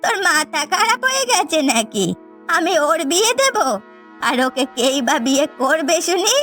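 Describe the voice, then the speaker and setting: A woman's voice: high voice, sing-song, a little slow, bright, forceful tone, exaggerated voice. A woman voicing a scheming, greedy, domineering old mother-in-law in a cartoon family drama, scolding and scornful. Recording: background music.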